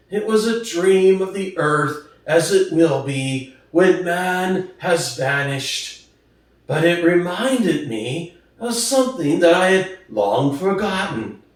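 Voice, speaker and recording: A man voicing an aged, hoarse boar who gives solemn, fervent revolutionary speeches in a storybook reading, slowly and emphatically. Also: fairly high pitch. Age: older. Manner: slow, emphatic oratory with weighty pauses. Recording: distant, very echoey room.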